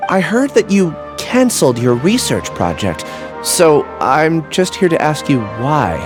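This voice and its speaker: An apologetic young part-time researcher in a game, soft-spoken and earnest. His voice sits fairly high and moves in lively swings. Faint music plays behind him. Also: a little slow. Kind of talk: game voice acting.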